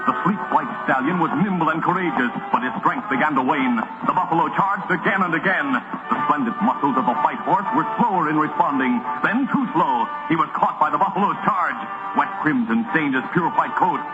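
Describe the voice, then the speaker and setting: A man's voice: on the high side, expressive, fairly quick, few pauses, over loud music. An American man narrating an old radio western in a dramatic, solemn 1940s storytelling style. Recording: muffled vintage radio recording.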